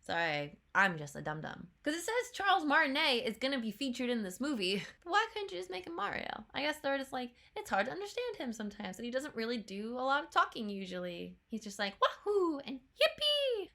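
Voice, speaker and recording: A woman's voice: a little high, sing-song, brisk, creaky, hardly any pauses, pushed and bright. A young woman with a stuffy nose from a cold, chatting bluntly as a YouTuber reacting to a trailer. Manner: chatty, blunt, swears casually. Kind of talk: casual chat.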